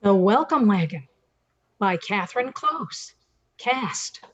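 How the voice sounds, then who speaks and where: Slightly muffled audio, older female narrator, measured slow delivery, scripted radio play introductions. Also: mid-range voice, animated. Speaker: narrator and announcer.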